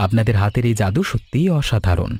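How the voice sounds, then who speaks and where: A man's voice: lowish voice, lively intonation, quick pace, a little mellow, close to an everyday voice. A man playing a polite, appreciative office worker who is a regular customer in a cartoon story, courteous and effusive. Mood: grateful, warm.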